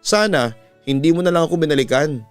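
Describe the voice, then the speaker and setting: A man's voice: fairly high pitch, expressive, brisk, clean tone, no echo, close to an everyday voice. A man with a Filipino accent voicing an emotional young woman, a sweetheart in a dramatized love story. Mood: hurt, reproachful. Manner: emotional, emphatic. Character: hurt, loving young woman, former sweetheart. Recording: music bed under the voice.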